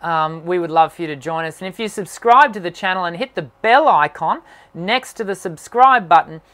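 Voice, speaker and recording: A man who hosts a singing channel, answering viewer questions on a live stream in an encouraging tone and often pausing with "um". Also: sits high, sharp tone. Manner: encouraging, talks with many "um" fillers. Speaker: singing teacher and channel host.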